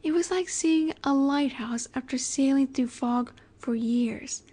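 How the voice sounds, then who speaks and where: Woman acting slow, soft, wistful immortal vampire lady. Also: high-pitched. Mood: nostalgic, melancholy. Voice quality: soft, breathy, often near-whispered. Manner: slow, wistful, elegant, gently commanding. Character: ageless female vampire boss's daughter, wistful, tender, possessive. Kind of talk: intimate romantic audio roleplay.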